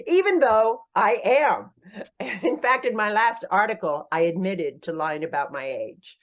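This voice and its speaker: Lively woman in her sixties, laughing. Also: takes it slowly, pauses often. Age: 60s. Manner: animated, self-deprecating, laughs often, pitch leaps when joking. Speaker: podcast guest, author and company founder. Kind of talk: casual interview storytelling.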